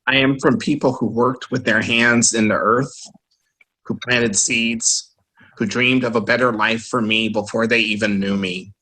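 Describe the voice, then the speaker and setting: A man reciting a poem slowly and deliberately. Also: mid-range voice, steady tone, bright, forceful tone, rough recording.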